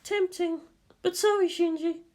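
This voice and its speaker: A woman's voice: extremely high pitch, steady tone, a little slow, a little mellow, pitched above the performer's own voice. A woman voicing a breezy, casual young woman military officer in a story reading. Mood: sleepy, grumbling.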